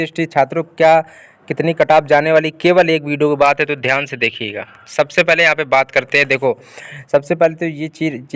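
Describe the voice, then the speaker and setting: A man's voice: on the high side, clean tone, no room sound. A man presenting exam updates as an informal explainer to students, repetitive and often adding short check-in tags. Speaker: exam news video presenter.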